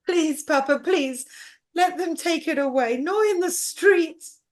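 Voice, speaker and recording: A performer playing a pleading, sorrowful young boy in a stage drama, speaking in simple, halting phrases. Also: very high-pitched.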